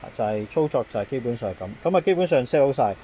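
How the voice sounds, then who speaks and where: Hong Kong Cantonese man over clear background noise. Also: medium pitch, lively intonation, very fast, warm tone. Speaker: intercom installer, technician. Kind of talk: informal product demonstration and handover explanation.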